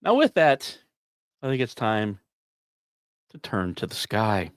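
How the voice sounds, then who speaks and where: A man's voice: on the low side, wildly swooping pitch, slow, no echo, clean studio sound. A man hosting a podcast, narrating scripted science news with wry, self-deprecating asides and theatrical emphasis. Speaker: podcast host.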